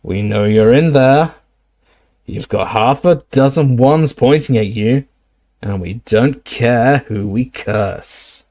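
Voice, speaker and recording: Brief: rasping menacing male villain, slow and leering, a man doing the voice in an audiobook. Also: medium pitch, a little mellow. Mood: threatening.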